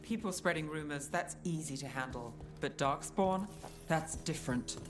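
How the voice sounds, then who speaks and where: Woman acting a calm resistance fighter, music behind. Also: low voice, normal speed, lightly acted. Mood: serious, measured. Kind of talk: video game voice acting.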